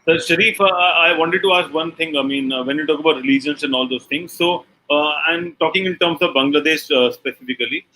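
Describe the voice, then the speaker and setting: Bright-voiced talk show host, man. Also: on the high side, fairly even intonation, fairly quick, hardly any pauses, a little hiss or hum, rough recording. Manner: hesitant, many fillers.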